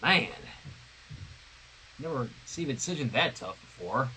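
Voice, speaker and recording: A man hosting a fan fiction reading, expressively narrating the story with casual asides in a slightly husky voice. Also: mid-range voice, a little slow, a little hiss or hum, low-quality recording. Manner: expressive, acts out dialogue. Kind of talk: dramatic read-aloud of a story with casual commentary.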